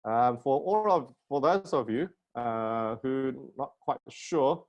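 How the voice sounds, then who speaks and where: A man's voice: medium pitch, animated, slow pace, highs cut off. A middle-aged man with a South African accent giving an informal webinar lecture on a video call, joking and self-deprecating. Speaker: guest lecturer, plastic surgeon. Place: indoor room at home, video call.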